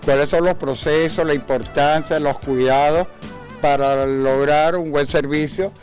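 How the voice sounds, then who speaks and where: Chatty Venezuelan man interviewing, higher-pitched voice. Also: fairly even intonation, measured pace, faint music behind. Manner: chatty, inquisitive. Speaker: TV host and interviewer. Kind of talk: informal on-location interview.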